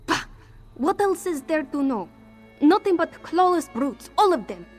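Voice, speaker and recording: High-voiced scrappy cat-folk thief played by a woman. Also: very animated, unhurried, faint music behind. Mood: bitter. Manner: refers to herself in third person, formal contraction-free phrasing. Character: anxious, scrappy female cat-folk thief, defensive and street-hardened. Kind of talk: fantasy game character dialogue.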